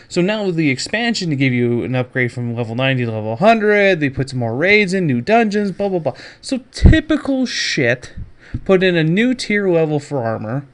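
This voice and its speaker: A man hosting a podcast, giving casual, opinionated gaming news commentary with mocking asides and swearing. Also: fairly high pitch. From Canada. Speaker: podcast host.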